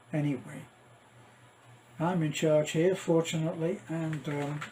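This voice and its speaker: A man's voice: fairly high pitch, steady tone, slow, mellow, a little hiss or hum. An older man chatting casually to viewers as a vlogger, wry and self-deprecating, drifting off into asides. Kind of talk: casual rambling vlog chat to viewers.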